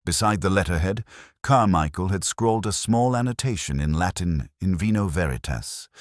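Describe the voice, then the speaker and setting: A man playing a world-weary older detective, narrating a mystery drama in a measured, literary, wry and melancholy way. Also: a bass voice, no echo, clean studio sound, heavily acted. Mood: suspicious, pensive. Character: world-weary, brooding older male English detective narrating his case. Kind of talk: scripted first-person mystery audio drama narration.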